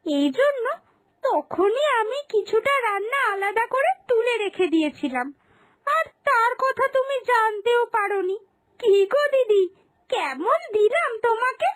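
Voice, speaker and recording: Feisty, very high-pitched young daughter-in-law. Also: female voice, a little slow, dry recording, full character voice. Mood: smug, taunting. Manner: taunting, sharp-tongued, expressive. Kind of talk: Bengali moral-story cartoon dubbing.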